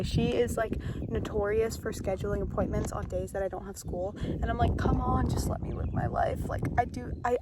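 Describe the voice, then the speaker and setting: A woman's voice: medium pitch, background noise. A young woman in her late teens chatting casually into her phone camera at the beach and at home, rambling with frequent "like". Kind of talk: casual vlog talk to camera. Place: outdoors at the beach, then at home.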